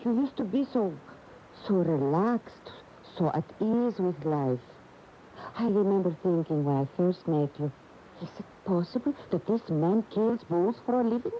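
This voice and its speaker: A woman acting in a radio drama as a proud, exasperated lover of a famous bullfighter, emotive and reproachful, with deliberate pauses. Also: fairly low pitch, animated. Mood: hurt questioning. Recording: muffled, hissy radio broadcast tape.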